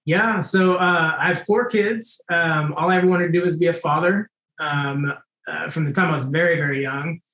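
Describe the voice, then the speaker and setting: A man's voice: on the high side, normal speed, a bit bright, echoey room. A man in his forties, a former Navy SEAL guest, talking in a laid-back way and laughing easily. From United States. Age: 40s. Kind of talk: casual interview chat.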